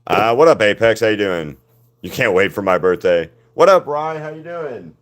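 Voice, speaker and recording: Deep-voiced man chatting on a livestream. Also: animated, moderate pace. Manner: rambling, answers viewers' chat by name. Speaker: artist hosting a livestream. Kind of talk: casual livestream chat while painting.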